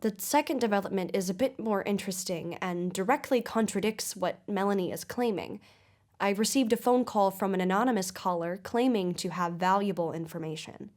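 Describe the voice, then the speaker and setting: A woman's voice: mid-range voice, fairly quick, darkish tone, natural voice. A woman playing a probing investigative mystery show host in an audio drama, speaking in a polished, formal, inquisitive manner. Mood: serious reporting. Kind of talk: audio-drama broadcast interview and narration.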